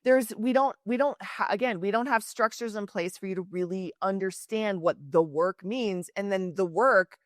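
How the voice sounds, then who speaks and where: A woman's voice: mid-range voice, moderate pace, few pauses, dry recording, studio quality. A woman hosting a podcast interview, talking in an earnest, emphatic and reflective way. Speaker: podcast host. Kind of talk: conversational interview.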